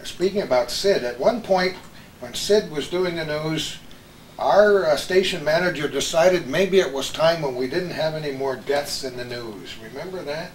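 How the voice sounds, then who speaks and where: Older American male host, high voice, anecdotal and chatty, slightly noisy recording. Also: moderate pace. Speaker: host, veteran radio broadcaster. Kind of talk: reminiscing, anecdotal chat with old colleagues. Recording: roomy echo with some background noise.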